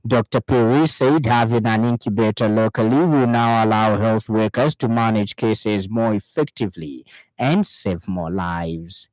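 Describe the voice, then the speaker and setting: Low-voiced Zambian man reading radio news. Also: darkish tone, dry recording, rough recording. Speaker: news presenter. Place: radio studio.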